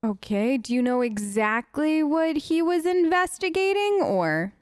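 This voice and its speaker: A woman with a high voice gives casual let's play commentary. She talks in a chatty, playfully skeptical way, improvising dialogue as her game character. The sound is close and dry. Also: sing-song, unhurried, dry recording. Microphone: close, dry microphone.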